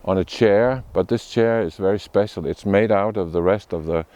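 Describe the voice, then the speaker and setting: A man from Denmark, a sculptor, speaking in a documentary interview with thoughtful, unhurried restarts. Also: fairly low pitch, mellow, lo-fi sound. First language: Danish.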